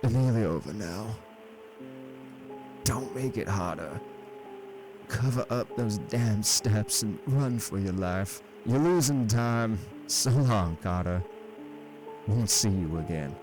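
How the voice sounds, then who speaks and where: A man puts on a frightened young man trapped below ground, his voice pushed up high and thin. He pleads in hushed, strained tones over soft music. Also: animated, slow pace. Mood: resigned.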